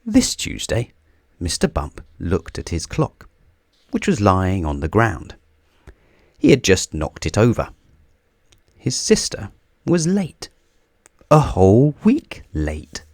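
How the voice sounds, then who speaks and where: A man reading a children's storybook aloud in a theatrical, deliberate way, drawing out key words for emphasis. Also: lowish voice, wildly swooping pitch, a little mellow, no echo, clean studio sound. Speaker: children's book reader. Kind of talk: scripted storybook read-aloud.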